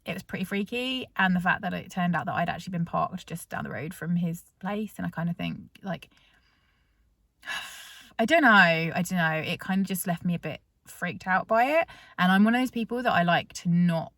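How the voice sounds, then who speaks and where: A woman's voice: lowish voice, lively intonation, moderate pace, fairly bright tone, no echo, clean studio sound. A British woman vlogger telling a story in a casual, chatty way, full of fillers and restarts. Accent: British English.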